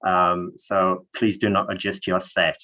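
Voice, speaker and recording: A man's voice: low voice, completely flat delivery, unhurried, bright, forceful tone, poor audio. A middle-aged man with a northeast English accent introducing himself on a live webinar in a friendly, lightly joking way. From northeast England. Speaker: network development manager, webinar guest.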